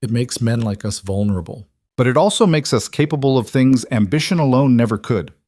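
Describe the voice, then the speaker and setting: A man voicing a stern, shrewd older mother of a crime family in a measured, grave way for an audio story. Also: mid-range voice, animated, mellow, no echo, lightly acted.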